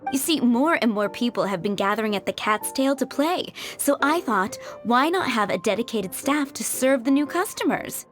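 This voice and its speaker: A woman's voice: a little high, expressive, moderate pace, few pauses, lightly acted. A woman playing a polite, gracious and chatty tavern proprietress in a video game. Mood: genial. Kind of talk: scripted video game dialogue. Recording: faint music behind.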